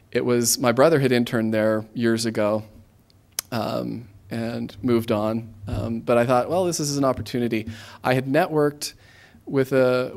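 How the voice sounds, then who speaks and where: An American man in his thirties giving an informal career talk to students, conversational and halting, often restarting his phrases. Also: medium pitch, darkish tone. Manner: conversational, halting, self-interrupting. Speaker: guest speaker, government aid regional coordinator.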